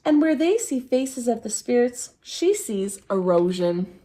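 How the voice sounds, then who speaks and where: High, animated woman reading a storybook. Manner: theatrical, drawn-out emphasis on key words. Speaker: storytime reader at a dance centre. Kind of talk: expressive children's picture book read-aloud.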